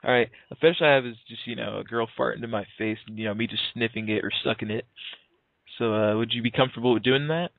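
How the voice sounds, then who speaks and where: A man's voice: medium pitch, fast talker, vocal fry, no room sound. A man in his early twenties making a prank phone call in a casual, slangy, hesitant way, acting sincere. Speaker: prank caller. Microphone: telephone call. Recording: narrow, tinny phone line.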